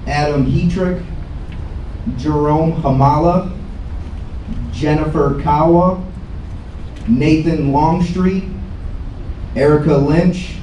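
A man's voice: on the high side, steady tone, takes it slowly, pauses often, dark tone. An American man speaking at a banquet ceremony, reading out a list of names, recorded from across the hall. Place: indoor banquet hall with PA at a podium, filmed from the audience. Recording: echoey banquet hall, distant mic, faint noise.

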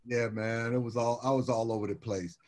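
An older man from Birmingham, Alabama, speaking as a guest on a video call. He tells his stories in an easygoing, rambling way, full of "you know." His voice sits in a middle pitch for a man. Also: steady tone, a little fast, darkish tone. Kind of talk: casual interview storytelling. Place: home room with wood shutters and framed pictures.

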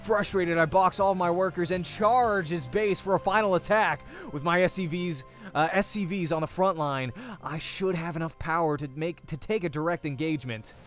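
A man's voice: on the high side, normal speed, hardly any pauses, faint music behind. A young man hosting a let's play, reading a visual novel aloud in the first person with dramatic storytelling.

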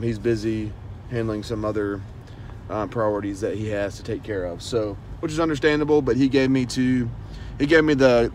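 Chatty young man vlogging in car. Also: fairly low pitch. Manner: casual, chatty, addresses viewers as guys. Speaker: pressure washing business owner. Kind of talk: casual vlog narration while driving. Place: inside a parked or moving car. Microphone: phone camera held at arm's length. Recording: phone recording in a car with road noise. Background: car and outdoor noise.

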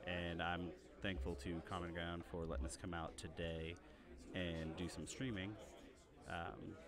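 A deep-voiced man casually hosts a tabletop game livestream, rambling easily and chuckling between points. He speaks into a directional mic a few feet from the table, and the chatter of a busy game store can be heard behind him. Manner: relaxed, rambling, chuckling asides. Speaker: stream host and commentator. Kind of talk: casual stream commentary. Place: game store play area. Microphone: directional mic about five feet from the game table. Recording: voice over store crowd chatter.

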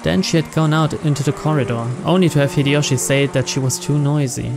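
A man's voice: on the high side, fairly even intonation, fast talker, creaky, over music. A man reading visual novel narration aloud in a storytelling style. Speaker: narrator, let's player.

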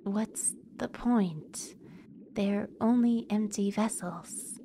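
A woman's voice: medium pitch, extremely slow, mellow, a little hiss or hum. A woman voicing a detached, hopeless young girl from a video game in a subdued, resigned way. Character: bleak, detached young girl without hope. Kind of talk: video game character read-aloud.

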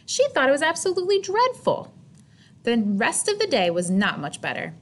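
A woman narrating a children's storybook expressively and deliberately, stretching words for emphasis like a teacher reading aloud. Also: high voice, huge pitch swings, fairly bright tone.

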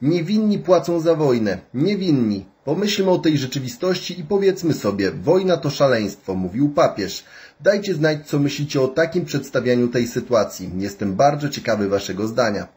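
A man's voice: mid-range voice, lively intonation, normal speed, a little mellow. A man in his thirties reading a news item for a short social media video.

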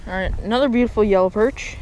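Casting: young male angler vlogger, slangy and exclamatory, action camera audio with clear outdoor noise. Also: high voice, quick pace, darkish tone, poor audio. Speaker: fishing vlogger. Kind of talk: casual excited chat while fishing. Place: outdoors on a rocky reservoir shore. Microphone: body-worn action camera.

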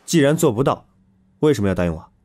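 A young man acting as a calm, firm, protective lover in an audio drama, with a husky voice. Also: mid-range voice, quick pace. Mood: firm, tender.

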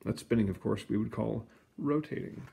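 A man reading a children's storybook aloud in an expressive, explanatory way, with casual asides. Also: fairly low pitch, normal speed, creaky. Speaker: storybook reader.

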